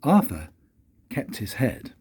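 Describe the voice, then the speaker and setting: An older man, a grandfather, reading a children's storybook aloud in an expressive, unhurried way with dramatic pauses. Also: medium pitch, slightly rough. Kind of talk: storybook narration.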